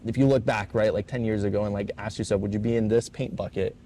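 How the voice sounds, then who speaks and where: A man's voice: lowish voice, fairly even intonation, fast, warm tone, a little hiss or hum. A man co-hosting a podcast in a warehouse, chatting casually in a rambling way, full of fillers and swearing. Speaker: podcast co-host. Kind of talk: casual chat.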